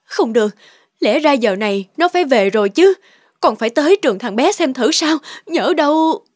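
A woman playing an anxious, grieving middle-aged widow in an animated drama, fretful and self-blaming. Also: high voice, normal speed, no room sound, clean studio sound. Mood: worried. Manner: fretful, distressed.

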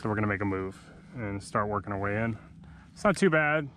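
A man's voice: fairly low pitch, lively intonation, a little fast, a bit bright, slight background noise. Laid-back man narrating from a kayak. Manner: laid-back, talks to himself between casts. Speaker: kayak fishing vlogger. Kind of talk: casual running commentary while fishing. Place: kayak on calm open sea, outdoors. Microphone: action camera mounted on the kayak.

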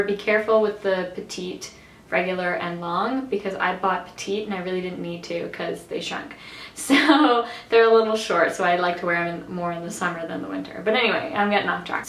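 A young woman from Canada chatting casually about clothes she tried on, slightly nasal and raspy from allergies, rambling with lots of fillers. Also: mid-range voice, fairly bright tone, roomy sound, a little hiss or hum, rough recording. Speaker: fashion vlogger. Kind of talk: casual try-on haul chat. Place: bright bedroom.

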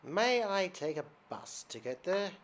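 A man reading aloud old textbook dialogue in an exaggerated, singsong, loud voice. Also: high voice, very animated, a little slow, voice pushed up. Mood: mock formal.

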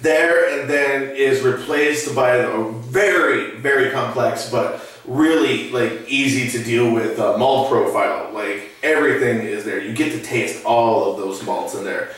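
A man's voice: mid-range voice, a little slow, fairly bright tone. A man in his thirties hosting a casual beer tasting, enthusiastic and talkative, filmed in a small room. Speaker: beer review host. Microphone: far camera. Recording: echoey room, distant mic.

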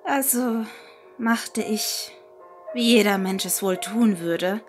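A woman narrating a first-person scary story in a hushed, unhurried, dramatic manner, with music behind her. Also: mid-range voice. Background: background music.

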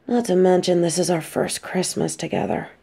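A woman's voice: lowish voice, moderate pace, creaky, warm tone, breathy edge. A woman playing an affectionate, teasing supervillain girlfriend in an intimate roleplay audio drama, speaking tenderly and playfully. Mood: sincere, apologetic.

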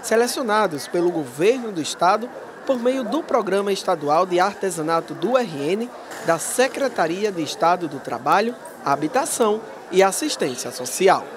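A man reading a news report as voice-over, in a lively, animated way. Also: sits high, normal speed, background noise.

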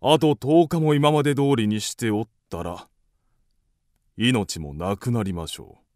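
Guy playing grave old-timey ascetic healer, slow measured delivery, radio drama, dry sound. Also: medium pitch, darkish tone, dry recording, lightly acted. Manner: solemn, measured, formal archaic speech. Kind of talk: Japanese radio drama acting.

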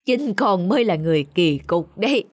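A woman voicing a grave, wise old father who questions and moralizes in a measured way in an animated folk tale. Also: mid-range voice, close to an everyday voice. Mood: grave reproach.

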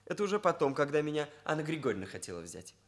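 A man's voice: on the high side, big pitch swings, rapid-fire. A man playing an earnest, sensitive young hairdresser with formal, slightly self-important phrasing, in a Soviet radio drama. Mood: sorrowful, confiding. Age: young adult. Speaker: actor in a radio play.

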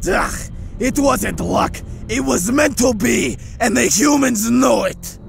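A man voicing an arrogant, wrathful dragon tyrant in an animated comic dub, haughty and contemptuous. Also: very high voice, slow pace, vocal fry, music in the background, full character voice. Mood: contemptuous anger.

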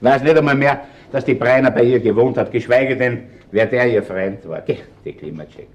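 An actor playing a shrewd, unhurried Viennese chief inspector in a crime radio play, speaking deliberately and wryly with an Austrian accent. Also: male voice, mid-range voice, highs cut off, lightly acted. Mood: wry, explaining.

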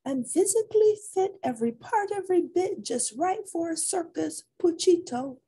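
Woman doing a high, cheeky little kitten boy. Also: animated, normal speed, dark tone, a little hiss or hum. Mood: boastful singsong. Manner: theatrical, playful, mock-Spanish flourishes.

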